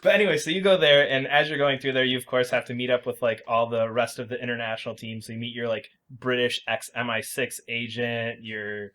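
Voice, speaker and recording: A man's voice: medium pitch, steady tone, a little fast, hardly any pauses, fairly bright tone. Casual man explaining things on a podcast. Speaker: podcast host. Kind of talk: casual video game review chat.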